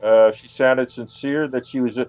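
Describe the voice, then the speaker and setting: Halting man, mid-pitched voice. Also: fairly even intonation, darkish tone, soft background music. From United States. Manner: halting, searching for words. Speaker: guest, UFO researcher. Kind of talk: unscripted radio interview answers.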